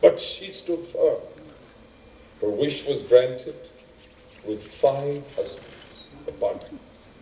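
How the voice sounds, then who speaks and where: Stage play: learned storyteller, wry and moralizing, soft mellow tone, distant echoey pickup. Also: male voice, medium pitch, animated, a little hiss or hum. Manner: deliberate, emphatic, repeats phrases for effect. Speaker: stage actor in a two-hander play. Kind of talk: theatrical storytelling of epic tales.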